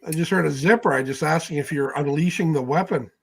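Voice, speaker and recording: A middle-aged man co-hosting a casual livestream with offhand, wisecracking banter and a husky voice. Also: a little high, fast. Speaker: livestream co-host.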